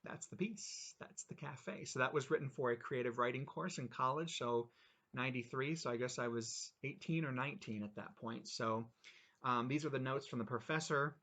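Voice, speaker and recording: A man in his forties casually reading aloud his own short story and chatting about it afterwards. His voice is bright and forward, and his asides come out wry and self-mocking. He sounds relaxed and unhurried. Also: medium pitch. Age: 40s.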